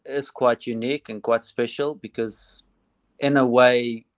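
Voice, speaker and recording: South African man, flat steady voice. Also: medium pitch, unhurried, no echo. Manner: relaxed, hesitant with frequent ums. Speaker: assistant cricket coach. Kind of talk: phone interview.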